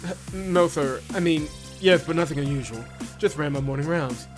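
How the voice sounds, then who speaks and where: A man's voice: a little high, moderate pace, voice pushed up, lightly acted. A man voicing a flustered, eager young female employee in a visual novel, nervous, stammering and deferential. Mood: flustered, covering up. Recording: loud game music behind voice.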